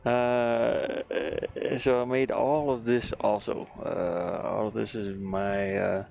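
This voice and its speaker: A man chatting casually on a livestream while he works, in a halting, rambling, easygoing manner. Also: lowish voice, flat delivery, darkish tone. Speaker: livestreamer, hobby game artist. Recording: clear background noise, live stream.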